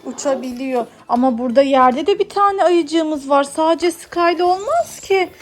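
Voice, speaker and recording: A woman's voice: high-pitched, lively intonation, moderate pace. A mother chatting playfully as she narrates toy play, often asking questions to prompt her young son. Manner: asks questions to prompt a child.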